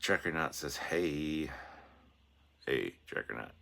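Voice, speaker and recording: A man hosting a casual livestream with a sore, hoarse throat, chatting in a rambling way and reading out viewer comments. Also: extremely low pitch, lively intonation, takes it slowly, bright, forceful tone. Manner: rambling and chatty, with restarts and self-deprecating asides. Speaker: livestream host.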